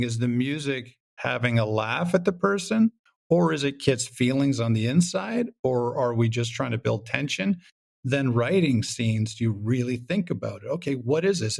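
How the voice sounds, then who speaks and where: Creaky-voiced man chatting reflectively. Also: mid-range voice, a little mellow. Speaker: guest, audio drama creator. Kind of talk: casual reflective interview chat.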